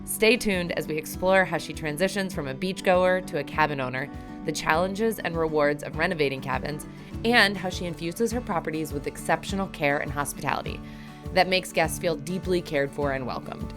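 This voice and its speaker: A woman with a low, deep voice hosting a podcast. She reads her intro smoothly and then moves into lively, curious questions. Music plays clearly behind her. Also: moderate pace. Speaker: podcast host. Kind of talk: scripted intro, then conversational interviewing.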